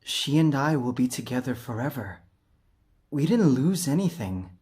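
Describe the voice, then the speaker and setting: A man's voice: mid-range voice, big pitch swings, normal speed, a little mellow, slightly rough. A man voicing an earnest, grieving scientist from a video game, pleading in a strained, emotional, insistent way. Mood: impassioned grief. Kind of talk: dramatic video game dialogue.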